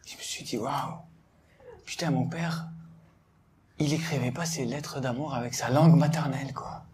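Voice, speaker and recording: A man of Algerian family doing a stand-up routine, talking animatedly and building up to shouted punchlines. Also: medium pitch, normal speed, very noisy. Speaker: stand-up comedian.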